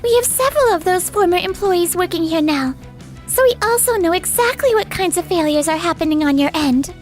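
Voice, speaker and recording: Woman acting a very high-voiced, firm businesswoman. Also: expressive, brisk, soft background music. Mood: firm, cool. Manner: formal, firm. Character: firm, loyal young businesswoman defending her husband. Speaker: voice actor in manga dub. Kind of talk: dramatized manga story dub.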